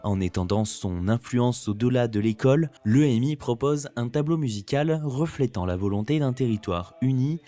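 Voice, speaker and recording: A man's voice: mid-range voice, expressive, normal speed, few pauses, dark tone. Man narrating a polished promotional documentary. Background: background music.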